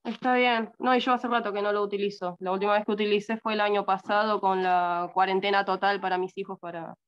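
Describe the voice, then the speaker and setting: A woman with an Argentine accent, a guest in a casual video call chat, brisk and agreeable. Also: medium pitch, level tone, smooth. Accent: Argentine Spanish. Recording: dry, dull video call audio.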